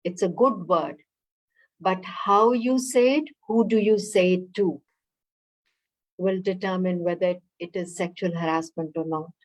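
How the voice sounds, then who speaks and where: A woman instructor explaining workplace rules on a webinar video call, measured and explanatory, pausing often on "uh" and "you know". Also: lowish voice, low-quality recording.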